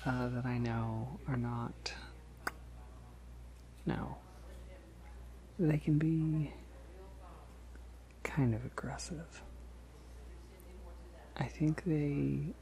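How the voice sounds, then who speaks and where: Polite male vampire for ASMR roleplay, male actor: unhurried, slightly gravelly, gentle, hesitant, considerate. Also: mid-range voice. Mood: earnest, slightly awkward. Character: polite, considerate, decades-old male vampire posing as college student. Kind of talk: soft-spoken ASMR roleplay.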